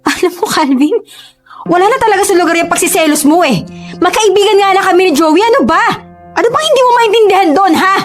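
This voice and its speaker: High-voiced, strained young mother. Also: animated, fast. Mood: indignant, upset. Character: young mother, weary, submissive girlfriend under strain. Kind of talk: Tagalog radio drama acting. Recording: music under the voice.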